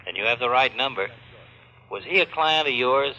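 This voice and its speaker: An actor playing a weary, no-nonsense police lieutenant with a worn-out voice in an old radio crime drama. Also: male voice, medium pitch, lively intonation, moderate pace, sharp tone. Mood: tired, grim. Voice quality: worn-out sounding. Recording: muffled, slightly noisy old radio recording.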